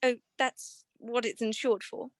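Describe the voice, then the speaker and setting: Woman acting high-voiced, flustered society wife. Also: little pitch movement, measured pace, no echo, clean studio sound, natural voice. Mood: genteel, explaining. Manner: hesitant, genteel, flustered. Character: well-to-do married woman client, evasive, anxious, genteel. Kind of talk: 1940s radio detective drama acting.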